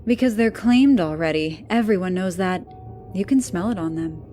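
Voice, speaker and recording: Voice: mid-range voice, normal speed, mellow, faint music behind, voice pushed up, close to an everyday voice. A narrator voicing a wry, knowing female demon assistant in an audiobook. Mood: dry, dismissive. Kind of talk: audiobook character voice.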